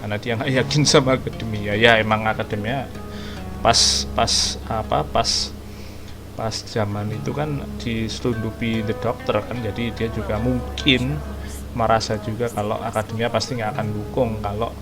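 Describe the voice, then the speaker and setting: A man's voice: lowish voice, steady tone, moderate pace. A man with an Indonesian accent giving casual, slangy reaction commentary as a gaming streamer. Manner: slangy, informal. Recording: game music behind the voice.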